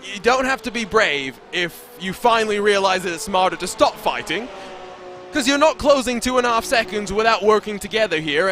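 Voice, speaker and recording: A man calling a sim race play-by-play, fluent and excitable, with playful banter. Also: sits high. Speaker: sim racing lead commentator. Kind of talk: live play-by-play commentary.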